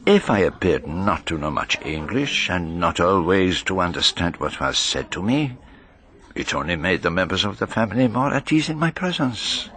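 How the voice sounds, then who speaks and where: Low-voiced, formal foreign detective. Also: male voice, very animated, fairly bright tone. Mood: pensive. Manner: measured, formal, precise. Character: shrewd, courteous foreign male detective, inwardly brooding. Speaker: detective narrating his own case. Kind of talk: radio drama narration and dialogue.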